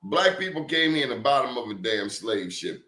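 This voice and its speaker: A man's voice: mid-range voice, big pitch swings, brisk, sharp tone, echoey room. A man talking as a professor guest in casual conversation, telling emphatic stories and throwing out rhetorical questions.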